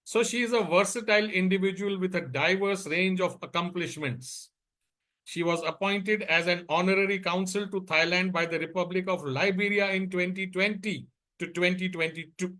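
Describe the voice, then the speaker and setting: A man's voice: high voice, fairly even intonation, normal speed, sharp tone. A man hosting a talk show, reading out an introduction of a guest.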